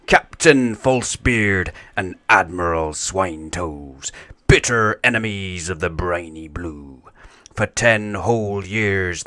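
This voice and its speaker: Male narrator for children's storybook: slow, deliberate pacing, crisp bright tone, close dry sound. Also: lowish voice, no echo. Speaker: storybook reader.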